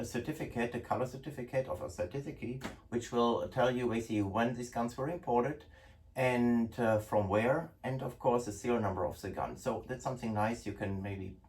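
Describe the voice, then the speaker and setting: A man's voice: mid-range voice, a little mellow. A middle-aged man with a German accent, hosting a casual product talk to camera in an indoor room, chatty with many restarts. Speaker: host, gun importer. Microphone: far camera. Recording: distant room sound.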